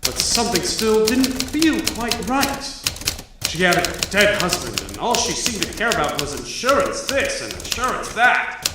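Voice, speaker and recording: Man playing high, swooping dim noir gumshoe. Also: sing-song, moderate pace, heavy background noise. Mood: musing narration. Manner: theatrical, slangy gumshoe patter. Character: dim, self-assured hardboiled private detective, wisecracking. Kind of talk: live noir radio drama parody. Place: on stage in a theater. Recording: echoey theater, distant mic, loud music.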